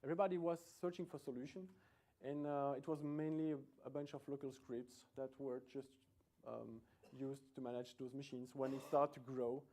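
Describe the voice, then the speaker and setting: A man's voice: mid-range voice, steady tone, normal speed, warm tone. A middle-aged man from Belgium with a Belgian accent, giving a chatty, self-deprecating conference talk in a lecture room, often restarting his sentences. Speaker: conference speaker.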